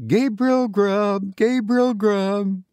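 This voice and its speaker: Man doing wild, high goblin spirit chorus. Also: steady tone, a little mellow, smooth, no room sound. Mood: wild, accusing. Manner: drawn-out, wailing, screamed chant.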